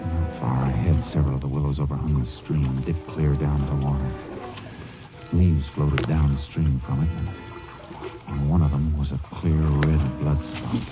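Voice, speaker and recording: An actor playing a wounded, steady Texas Ranger in an old radio drama, narrating in a low, measured way. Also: male voice, over music. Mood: tense. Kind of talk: 1950s radio drama acting. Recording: muffled old radio transcription.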